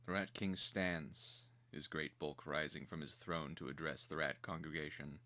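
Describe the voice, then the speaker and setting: A man narrating a screenplay as a dramatic reading, in a measured, theatrical manner. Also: very deep voice, steady tone, bright, forceful tone.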